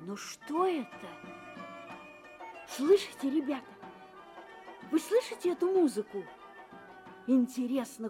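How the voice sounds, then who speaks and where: Kindly Keeper of tales in a children's audio play: highish, very animated, inviting storyteller. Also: female voice, exaggerated voice. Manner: inviting, addresses children, unhurried, expressive. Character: kindly, wondering female Keeper of Fairy Tales. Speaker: storyteller and guide.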